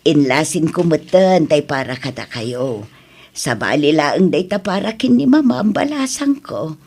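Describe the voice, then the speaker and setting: A woman's voice: low-pitched, lively intonation, unhurried, a little mellow, slightly rough, heavily acted. A woman playing a caring, encouraging middle-aged mother in an Ilocano radio drama, tender and coaxing, with an Ilocano accent. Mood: kindly.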